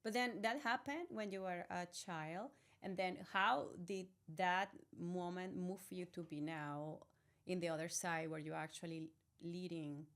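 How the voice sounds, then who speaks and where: A woman's voice: lowish voice, unhurried, a bit bright. A woman hosting a wellbeing podcast, introducing her guest earnestly and asking long, heartfelt questions. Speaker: podcast host. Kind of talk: interview hosting.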